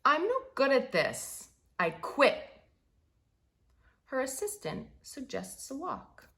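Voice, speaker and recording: A woman reading a children's picture book aloud in an expressive, deliberate way, stressing key words. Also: high-pitched.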